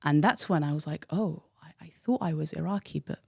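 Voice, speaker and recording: A woman's voice: sits low, fairly quick, vocal fry, husky, dry recording, clean studio sound. A woman in her thirties from the UK, a guest telling her life story frankly and reflectively, with frequent fillers. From United Kingdom. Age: 30s. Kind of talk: candid personal interview.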